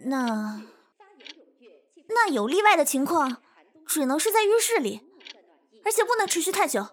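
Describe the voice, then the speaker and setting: Earnest, indignant young secretary for audio drama, played by a woman; high-pitched, stubborn, proper. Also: very animated, unhurried. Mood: prim. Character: prim, stubborn, principled young female secretary. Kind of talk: modern radio drama acting. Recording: music behind the voice.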